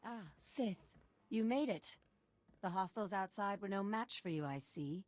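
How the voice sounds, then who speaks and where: A woman's voice: mid-range voice, expressive, a little slow, a little mellow, no room sound, lightly acted. A woman voicing an eccentric, deferential desert guide in a video game, formal and mysterious as she tells her tale. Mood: respectful. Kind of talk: scripted video game acting.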